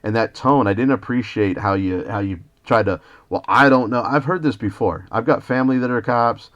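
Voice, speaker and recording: A man's voice: mid-range voice, normal speed, fairly bright tone. An American man, a citizen journalist on a phone call, arguing in an indignant, lecturing manner as he confronts the police. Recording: dull lo-fi phone call recording.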